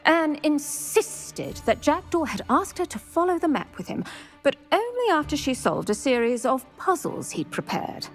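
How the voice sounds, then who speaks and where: An actor voicing an older retired Auror woman who speaks briskly and formally in scripted game dialogue. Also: high voice, big pitch swings, faint music behind. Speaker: retired Auror guiding a student.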